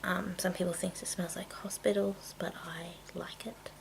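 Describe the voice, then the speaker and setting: Female ASMR presenter, breathy whisper on the lower side, rambling and casual, over clearly noisy audio with clicks. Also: little pitch movement. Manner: hushed, rambling, unhurried. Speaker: ASMR video maker. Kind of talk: ASMR, casual product show-and-tell.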